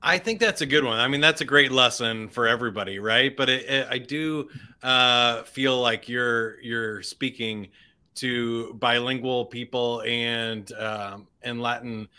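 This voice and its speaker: A man hosting a podcast interview, asking questions in a relaxed, conversational way. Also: medium pitch, unhurried, smooth. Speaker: podcast host.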